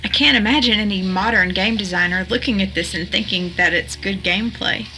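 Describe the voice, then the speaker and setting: A woman's voice: mid-range voice, a little fast, pushed and bright, slight background noise, poor audio. A woman acting as a level-headed analyst in a mystery audio drama, speaking in an articulate, matter-of-fact way. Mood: analytical, matter-of-fact. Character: analytical, level-headed woman puzzling over a strange game.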